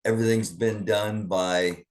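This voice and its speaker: Low-voiced man rambling through news. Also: fairly even intonation, unhurried. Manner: rambling, thinking aloud with many fillers. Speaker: news commentary host. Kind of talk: casual online news commentary.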